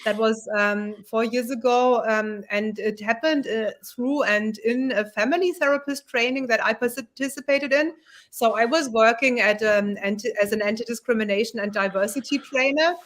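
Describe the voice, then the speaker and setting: A woman's voice: fairly high pitch, moderate pace, fairly bright tone. A woman in her forties with a German accent, speaking thoughtfully as a guest on a video call from her living room. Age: 40s. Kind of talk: casual video call talk. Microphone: webcam on video call.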